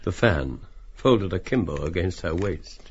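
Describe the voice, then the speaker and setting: A man's voice: deep voice, expressive, unhurried, husky, dull audio. A man reading literary stage directions as scripted audiobook narration.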